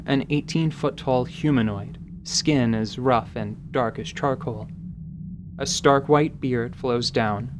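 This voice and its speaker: A man reading scripted dramatic fantasy narration in a measured, literary, deliberate manner. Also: mid-range voice, noisy background.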